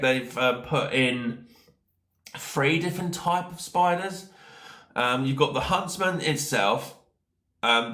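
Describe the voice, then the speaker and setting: A man's voice: medium pitch, a bit bright, reverberant. A man hosting a solo gaming podcast, sounding nasal from hay fever, rambling with lots of fillers and repeated "of course". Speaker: gaming channel host. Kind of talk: casual solo podcast talk.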